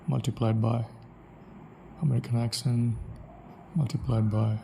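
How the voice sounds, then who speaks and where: A man's voice: medium pitch, little pitch movement, a little slow, creaky, warm tone, slight background noise. A man with an Indian English accent, thinking aloud in a reflective solo monologue, restarting his sentences often. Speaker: podcaster.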